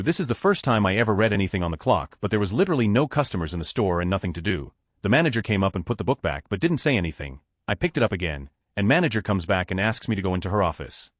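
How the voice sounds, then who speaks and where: A man narrating online stories aloud in a brisk, matter-of-fact reading. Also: lowish voice, dry recording, clean studio sound.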